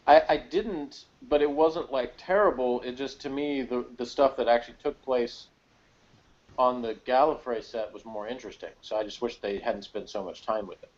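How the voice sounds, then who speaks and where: A man's voice: mid-range voice, normal speed. Sceptical man in slightly muffled lo-fi audio. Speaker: co-reviewer in a fan discussion. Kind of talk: casual opinionated chat.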